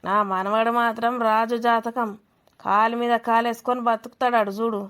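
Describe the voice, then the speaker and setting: A stubborn, boastful old village grandmother with a Telangana accent, acted by a woman, from a cartoon comedy. Her voice is clear and fairly high, and it stays on an even, steady line as she defends her own. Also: normal speed, lightly acted.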